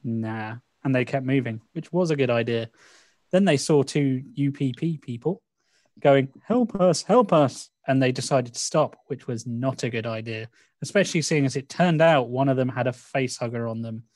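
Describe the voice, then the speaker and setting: A man's voice: medium pitch, moderate pace, darkish tone, no echo. A man playing in a tabletop role-playing show, talking casually and jokily with dry, sarcastic humour. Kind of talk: casual, jokey story recap.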